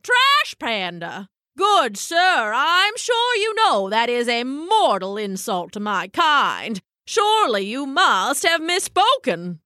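A woman's voice: high-pitched, very animated, slow pace, pushed and bright, no room sound, clean studio sound, full character voice. A woman voicing a tubby, overly polite male raccoon who speaks in a flowery, genteel way. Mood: pompous.

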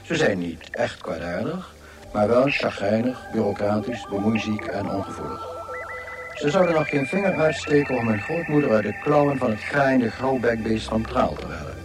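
An actor playing the dry narrator of a galactic guidebook, delivering scripted comic radio narration with measured, deadpan irony. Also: male voice, mid-range voice, music in the background, natural voice.

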